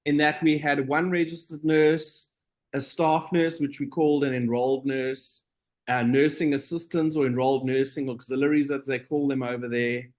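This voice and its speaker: A middle-aged man from South Africa, a nurse being interviewed, talking in a relaxed, meandering way with frequent pauses. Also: mid-range voice. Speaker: guest, operating room nurse. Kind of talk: casual interview conversation.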